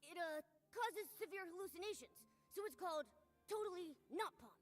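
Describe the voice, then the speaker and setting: Small, easily confused game mascot, childlike and high, slight hall reverb. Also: female voice, animated, moderate pace. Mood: curious. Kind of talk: game voice acting.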